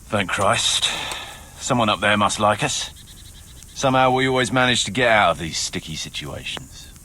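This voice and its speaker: An actor playing a gruff, commanding leader of a mercenary band in a video game cutscene, blunt and authoritative. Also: male voice, fairly low pitch, expressive, normal speed, pushed and bright. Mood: relieved. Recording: music behind the voice. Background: music.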